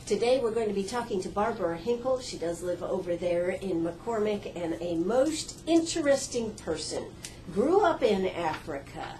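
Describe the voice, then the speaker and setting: A woman's voice: medium pitch, animated, moderate pace, mellow, slight background noise, low-quality recording. A woman hosting a radio interview in an art gallery, chatty and effusive, with a folksy way of talking. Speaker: radio host.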